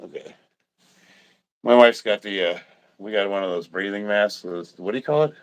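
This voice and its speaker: A congested man in his fifties, short of breath, chatting casually on a livestream, swearing and often clearing his throat. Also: lowish voice, moderate pace. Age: 50s. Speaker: livestreaming powerlifter.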